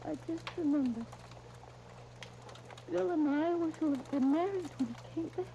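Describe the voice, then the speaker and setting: A woman playing an emotional, torn young wife in a halting, breathless 1930s radio melodrama style. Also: high voice, warm tone, dull audio. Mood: anguished. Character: overwrought, devoted young married woman torn between two loves. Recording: hissy 1939 radio broadcast disc.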